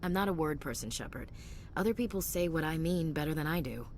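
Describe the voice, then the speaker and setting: A woman's voice: low voice, animated, brisk, darkish tone, slight background noise. An actress playing a loyal, frank female soldier in a video game, plain-spoken and direct. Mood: rueful. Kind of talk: video game dialogue acting.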